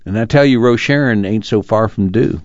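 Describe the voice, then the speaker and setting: A man plays a weary farmer and father who has given up and broods. His country speech is resigned and rather low in pitch. The sound is muffled and close, with no room echo. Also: a little fast, natural voice. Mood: despairing. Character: weary, defeated, brooding farm father of grown children. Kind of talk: dramatized literary reading. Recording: dull, muffled audio.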